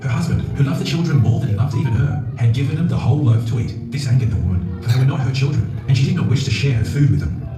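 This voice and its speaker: A man's voice: medium pitch, animated, fast. A man reading a scripted children's fairytale as the storyteller. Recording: very echoey, distant playback, music behind. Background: music.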